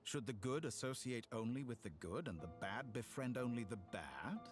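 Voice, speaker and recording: An actor playing a smug, theatrical, calculating villain in a fantasy video game, silky and condescending. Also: male voice, mid-range voice, expressive, lightly acted. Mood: coaxing, calculating. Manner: silky, condescending, deliberate provocation. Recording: game music behind the voice.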